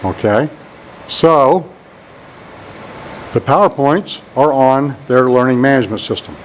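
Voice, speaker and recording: A man teaching networking, talking in a deep monotone and often saying "all right" as he walks a handheld camera through an empty computer lab. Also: measured pace, a little hiss or hum, low-quality recording. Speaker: networking instructor. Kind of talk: casual classroom tour walkthrough. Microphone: handheld camera mic while walking.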